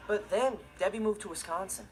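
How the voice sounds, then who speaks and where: A man's voice: high voice, a little fast, very noisy, close to an everyday voice. A young man as a laid-back, mischievous sitcom teen. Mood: wistful. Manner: casual, offhand comic delivery. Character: laid-back, irresponsible, mischievous teenage stepbrother. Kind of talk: scripted comic monologue in a TV sitcom. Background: loud music and laughter.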